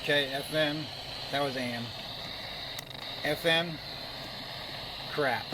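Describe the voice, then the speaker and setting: A slightly high-voiced man gives a casual, off-the-cuff product review. He is blunt and dismissive, grumbling as he goes. Loud noise runs behind his voice. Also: slow.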